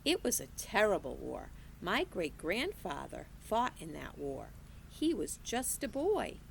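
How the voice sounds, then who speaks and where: A teacher reading as a genteel old Southern librarian. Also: female voice, fairly low pitch, huge pitch swings, unhurried, creaky, slight background noise, full character voice.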